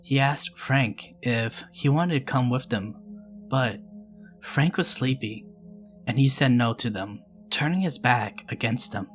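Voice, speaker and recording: A man hosting a storytelling channel, reading scary stories in a calm, measured way. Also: medium pitch, faint music behind. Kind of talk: scripted narration of scary stories.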